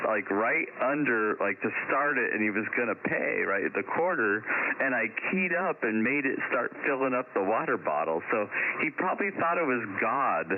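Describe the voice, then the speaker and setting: A man tells casual stories over CB radio in a rambling way, leaning on filler phrases like a buddy chatting. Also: medium pitch. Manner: rambling, heavy use of "like" and "you know". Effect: CB radio transmission. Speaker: CB radio operator. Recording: noisy narrowband CB radio audio.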